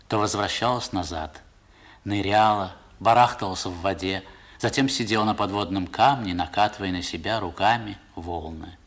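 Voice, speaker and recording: An actor narrating a 1960s radio play as a man looking back on his boyhood, in a measured, literary, reflective manner. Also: lowish voice, a bit bright.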